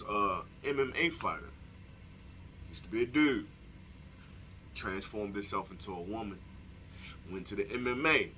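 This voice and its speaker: A man speaking his mind in a blunt, profane monologue. He talks straight at the listener, his pitch bouncing widely, and his voice has a bright, forceful edge. A faint hiss of ambient noise sits under the recording. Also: low-quality recording. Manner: blunt and profane, swings between lowered and raised delivery; direct address to the listener. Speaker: commentator. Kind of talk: opinion monologue.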